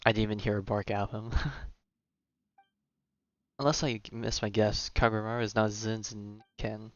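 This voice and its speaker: A man giving casual live-stream commentary on a video game, thinking out loud and reading game text in muttered asides. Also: on the low side, little pitch movement, normal speed, no echo, dull audio.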